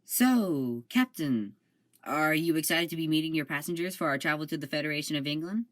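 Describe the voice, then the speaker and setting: A woman's voice: low-pitched, animated, a little fast. A woman playing an eager young male ship officer. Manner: earnest, starstruck. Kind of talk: amateur audio drama acting.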